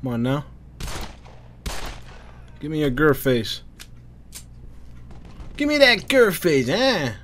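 A man's voice: a little high, big pitch swings, takes it slowly, long pauses, a bit bright. A man giving casual, frustrated gameplay commentary, muttering and swearing as he plays. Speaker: gamer playing a shooter. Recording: game gunfire and ambience behind. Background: war game sounds, gunfire.

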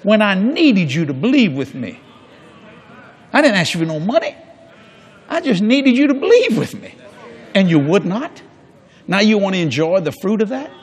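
A man's voice: sits high, big pitch swings, normal speed, long pauses. An older man preaching a sermon on a large church stage before a congregation, folksy and jokey, acting out quoted people in different voices.

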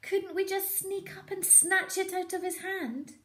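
A woman voicing small hungry fox cubs, pleading in a high whine. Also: fairly even intonation, measured pace, fairly bright tone, echoey room.

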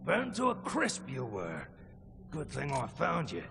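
An actor playing a sly, mocking cyborg mercenary in a video game, with a gravelly voice, an Australian accent and slangy, taunting delivery. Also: male voice, mid-range voice, huge pitch swings, a little slow, noisy background, heavily acted. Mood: smug consoling. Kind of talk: video game cutscene acting. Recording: music under the voice.

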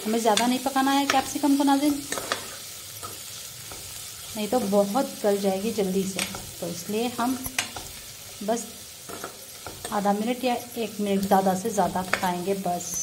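A woman cooks in her home kitchen, talking viewers through each step in an easygoing, friendly manner. Her voice sits at an ordinary middle pitch, picked up by a phone held over the stove. The sizzle of frying and the scrape of a spoon run clearly behind her. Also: a little slow, long pauses, background noise. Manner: friendly, addresses viewers as nazreen, frequent religious blessings. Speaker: cooking vlogger. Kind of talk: casual cooking tutorial narration.

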